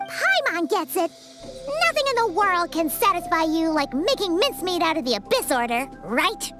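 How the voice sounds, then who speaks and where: A woman voicing a small, chirpy, cheeky fairy companion with a childlike, chatty, expressive manner in a video game. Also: very high-pitched, moderate pace, over music. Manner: chatty, expressive, refers to self by name.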